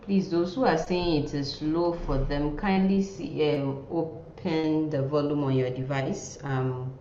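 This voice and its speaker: A woman giving an informal online nursing lecture in a halting way, often repeating words and phrases. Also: sits low, fairly even intonation, dark tone. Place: indoor room, online class. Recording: muffled, roomy sound with faint noise.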